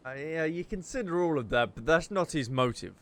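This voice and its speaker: A woman's voice: deep voice, animated, fairly quick, slight background noise. A woman gaming streamer giving chatty, reactive, casual commentary during a playthrough. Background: game music.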